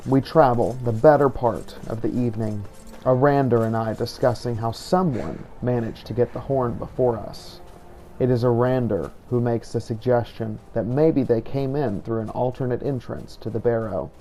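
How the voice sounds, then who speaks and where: A man giving measured, reflective in-character narration of a roleplay video game playthrough. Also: medium pitch, mellow, faint music behind.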